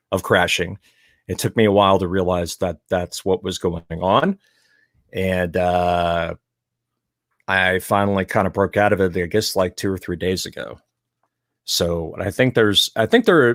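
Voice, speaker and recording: A man with a Southern US accent co-hosting a podcast, talking in an animated, teasing way, swearing freely and laughing loudly. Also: deep voice, no echo, studio quality. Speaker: podcast co-host. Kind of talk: casual chat.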